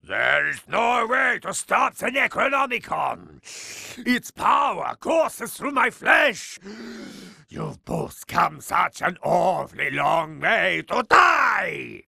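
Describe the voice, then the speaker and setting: An actor playing a sadistic, grandiose mad scientist villain in a video game, speaking in a theatrical, menacing way. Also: male voice, high voice, sing-song, takes it slowly, creaky, sharp tone, husky, no room sound, studio quality, heavily acted. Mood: grandiose, ranting. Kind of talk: video game cutscene acting.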